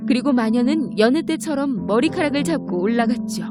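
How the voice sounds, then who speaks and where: A woman's voice: a little high, expressive, a little fast. A woman narrating a scripted fairy tale for children as a storyteller. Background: music.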